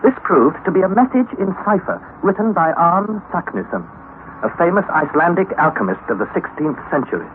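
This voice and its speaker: A man's voice: high-pitched, very animated, brisk. An actor playing an anxious young student who recounts his expedition in earnest, formal, dramatic narration for a radio play. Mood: grave, recounting. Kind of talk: dramatized radio narration of a classic adventure novel. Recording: noisy, narrow-band old radio recording.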